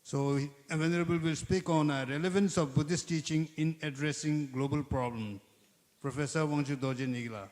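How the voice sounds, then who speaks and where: A man's voice: a little high, steady tone, moderate pace, a little mellow. A man moderating a conference session, formally introducing the next speaker and reading out his credentials with frequent hesitations. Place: large conference hall. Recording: long hall reverb.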